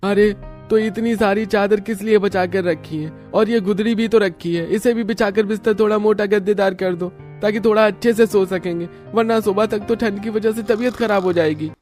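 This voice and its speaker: A man acts a poor village labourer in a family cartoon. His voice is notably high for a man, irritable and complaining, with faint music behind it. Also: steady tone, normal speed, a little mellow, natural voice. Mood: irritated. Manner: complaining, taunting. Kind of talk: Hindi family cartoon voice acting.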